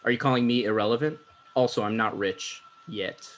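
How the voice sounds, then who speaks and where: Male, actor as vain, insecure host for a comedy table read; middle pitch, dismissive, quick to argue. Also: a bit bright, a little hiss or hum, natural voice. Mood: defensive. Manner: dismissive, argumentative. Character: self-important insecure male would-be talk show host.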